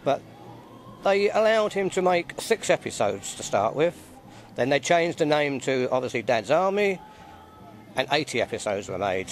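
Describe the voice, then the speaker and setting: A man in a casual interview at an outdoor event, telling history with halting repeats. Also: medium pitch, sing-song, a little hiss or hum. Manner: hesitant, repeats words. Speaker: interviewee, society member.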